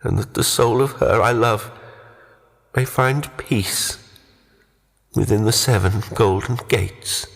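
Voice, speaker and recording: Man acting a solemn condemned man, very slow and husky. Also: fairly low pitch, fairly bright tone, big-room sound, voice pushed up, full character voice. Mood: solemn, pleading. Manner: solemn, archaic, prayerful declamation. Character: condemned man, solemn, devout, archaic confessor.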